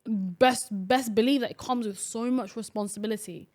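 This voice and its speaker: A woman's voice: on the high side, normal speed, darkish tone. A young woman from Somalia co-hosting a casual podcast chat, talking in a chatty way with many fillers and restarts. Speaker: podcast co-host.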